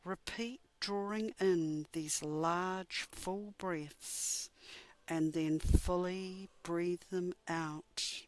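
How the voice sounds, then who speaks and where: A woman leading a guided meditation as an instructor, speaking in a measured, soothing way with gentle pauses between phrases. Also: fairly low pitch, steady tone.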